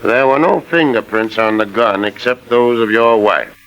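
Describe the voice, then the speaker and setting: An actor playing a polite, probing police inspector in 1940s radio drama, official and matter-of-fact, on a vintage recording. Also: male voice, lowish voice, moderate pace, fairly bright tone, highs cut off. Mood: polite, businesslike.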